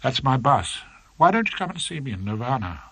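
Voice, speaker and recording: A man voicing an anxious young woman, a teacher, quiet and hesitant, in an audiobook. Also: mid-range voice, sing-song, fairly quick, gravelly, no echo, pitched above the performer's own voice, exaggerated voice. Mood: wistful, earnest.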